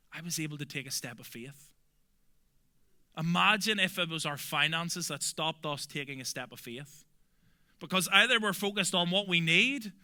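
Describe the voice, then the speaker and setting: A young adult man from Belfast with a Belfast accent, preaching a sermon earnestly and emphatically, often dropping to soft, quiet endings. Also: on the high side, smooth. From Northern Ireland. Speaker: pastor.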